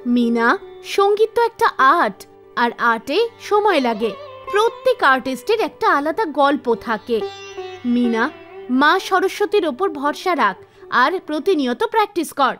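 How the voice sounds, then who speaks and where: A woman voicing a gentle mother in a cartoon story, soothing and encouraging. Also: sits high, sing-song, unhurried, faint music behind, full character voice. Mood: reassuring. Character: gentle, reassuring mother, a classical singer.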